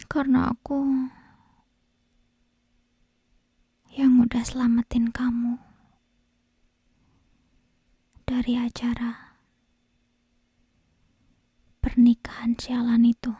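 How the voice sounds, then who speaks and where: A woman playing an obsessive, possessive, lovesick kidnapper girl in an ASMR roleplay, whispering breathily and menacingly close to the microphone with lingering pauses. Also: a little high, completely flat delivery, dark tone, breathy edge, no room sound, full character voice. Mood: possessive, smug. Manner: slow, lingering phrases broken by pauses. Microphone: close binaural microphone.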